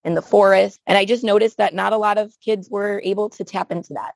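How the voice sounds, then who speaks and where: A woman's voice: fairly low pitch, dry recording. A middle-aged woman from upstate New York, answering interview questions thoughtfully and searching for words as she talks. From Buffalo, New York, United States. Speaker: podcast guest, outdoor play educator.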